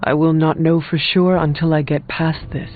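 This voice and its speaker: A man voicing a solemn, determined warrior hunter from the past in a video game, with a serious, formal and deliberate delivery. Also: a little high, little pitch movement, phone-quality sound, faint music behind, lightly acted. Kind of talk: video game character dialogue.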